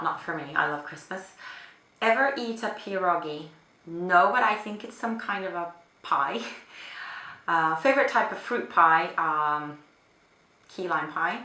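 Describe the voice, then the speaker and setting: A young woman from Finland, answering questions in a relaxed, chatty way at home, with lots of fillers and small laughs. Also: fairly low pitch. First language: Finnish. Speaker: vlogger. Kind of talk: casual chat answering a question tag. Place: apartment room. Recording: echoey home room.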